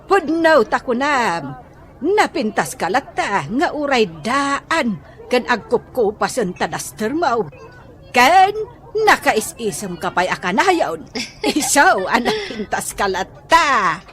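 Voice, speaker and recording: Chatty blunt auntie vendor, high voice, unhurried, Ilocano accent, radio comedy drama. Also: female voice, sing-song, fairly bright tone, exaggerated voice. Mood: chatty, coaxing. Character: chatty, bluntly frank neighbourhood woman vendor, addressed as auntie.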